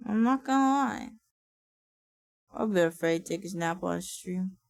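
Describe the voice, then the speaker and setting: A woman streaming from her desk, chatting casually. She sounds tired and a little raspy, swears freely and laughs often. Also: fairly low pitch, animated, a little slow, dry recording, clean studio sound. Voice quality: raspy when sleepy. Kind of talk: casual livestream chat.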